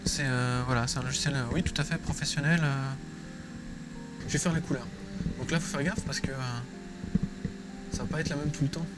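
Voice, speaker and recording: Casting: male tutorial presenter, mid-pitched, informal and unscripted, with prominent music behind him. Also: fairly even intonation, brisk, long pauses, rough recording. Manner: informal, thinking aloud while working. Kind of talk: casual, improvised screen-recorded tutorial. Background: loud music.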